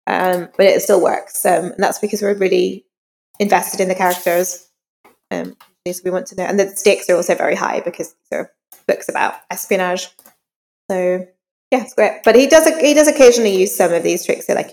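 Woman author chatting in an interview, low creaky voice, explains things casually. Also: fairly quick, darkish tone. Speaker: novelist being interviewed. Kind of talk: conversational interview about writing craft.

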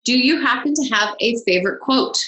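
A woman's voice: fairly high pitch, fairly quick, pushed and bright. A woman hosting a podcast interview, asking questions and praising answers enthusiastically. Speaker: podcast host. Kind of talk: casual interview. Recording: echoey room.

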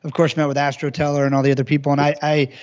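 A male podcast host with a somewhat raised pitch reads a guest introduction and then chats. He sounds enthusiastic and stumbles now and then, repeating words. The sound is dull and lo-fi. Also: level tone, rapid-fire, darkish tone. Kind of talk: reading a guest bio, then conversational questions.

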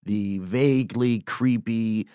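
A man's voice: fairly low pitch, takes it slowly, dark tone, smooth, dry recording, studio quality. An American man co-hosting a podcast, telling a story conversationally in a playful, wry way with mock-formal phrasing. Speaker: podcast co-host.

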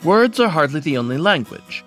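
A man's voice: a little high, sing-song, moderate pace, a bit bright. A man hosting a story podcast who gives a composed, scripted introduction. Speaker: podcast host. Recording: dry studio voice over faint music. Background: faint music.

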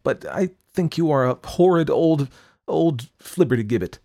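A man's voice: brisk, creaky, a little mellow, no room sound, clean studio sound. A man doing an anxious young woman artist. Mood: indignant. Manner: pleading, tender, raised pitch.

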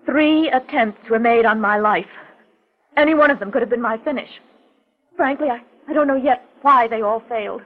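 A woman's voice: a little high, normal speed, lightly acted. An actress playing a frightened but composed woman, telling her story earnestly and hesitantly in a 1940s radio mystery. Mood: urgent. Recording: muffled, tinny 1940s radio recording.

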